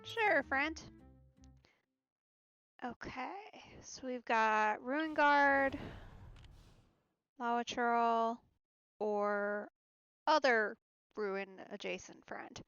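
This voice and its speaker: A woman with a slightly high voice streams a video game in a slow, casual way, thinking out loud as she goes. She pauses often and murmurs little asides. The audio is a bit dull, with music playing behind her. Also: smooth, no room sound.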